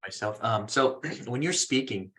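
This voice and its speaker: A man moderating a session, asking casually and haltingly, often checking for agreement. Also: medium pitch, a bit bright. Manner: halting, informal, seeks agreement. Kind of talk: casual spoken question.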